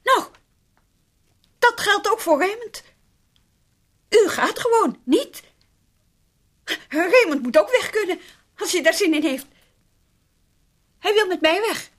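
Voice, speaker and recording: A woman's voice: very high voice, lively intonation, slow, long pauses, no room sound, clean studio sound, heavily acted. An actress playing a determined young girlfriend in a radio play, pleading and pushy, sometimes stumbling over repeated words. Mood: defiant. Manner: insistent, hesitant repetitions.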